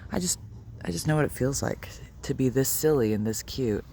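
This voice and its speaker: Low gravelly guy vlogging on a dog walk, musing and soft-spoken, noisy outdoor phone audio. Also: expressive, brisk. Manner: musing, soft-spoken, talks to his dogs. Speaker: video blogger. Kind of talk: rambling walk-and-talk vlog.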